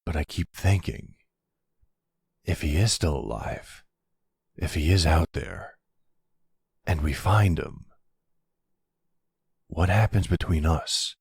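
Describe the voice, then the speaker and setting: A man playing a gentle older mafia man and devoted partner, speaking softly and reassuringly in an intimate roleplay. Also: a bass voice, lively intonation, slow pace, vocal fry, pauses often, breathy edge, no echo, studio quality, exaggerated voice. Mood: soothing, vulnerable. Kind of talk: intimate ASMR boyfriend roleplay.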